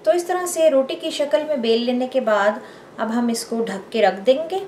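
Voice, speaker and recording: A woman with a slightly high voice hosts a home cooking lesson. She explains each step in a calm, patient way and speaks straight to her viewers. A soft background hum sits under her voice. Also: normal speed. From Pakistan. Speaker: cooking show host. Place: home kitchen.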